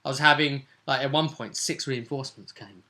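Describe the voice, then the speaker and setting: A man with a crisp, very bright voice at an average pitch talks through a tabletop game. He sounds like a game master in informal conversation, nudging his listener to agree as he goes. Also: fairly even intonation, a little fast. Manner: informal, seeks agreement with tag questions. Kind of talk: casual chat recapping game play.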